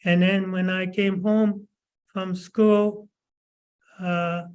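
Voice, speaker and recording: Slow, halting man in his nineties. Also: high voice, flat delivery, smooth. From Canada. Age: 90s. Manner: slow, halting, many pauses and fillers. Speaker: webinar speaker. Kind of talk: recalled life story.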